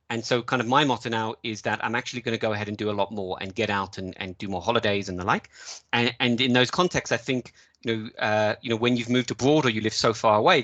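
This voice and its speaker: A man's voice: medium pitch, a bit bright, no room sound. A man from the United Kingdom answering a question as a webinar speaker, in a chatty way full of "you know" fillers. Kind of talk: casual panel answer.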